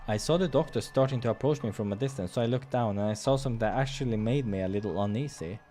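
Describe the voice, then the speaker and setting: A man's voice: medium pitch, fast, dark tone, faint music behind. Man narrating a game playthrough. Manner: stumbles over read lines and corrects himself. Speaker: let's player reading a visual novel aloud. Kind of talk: gaming playthrough, reading narration with casual asides.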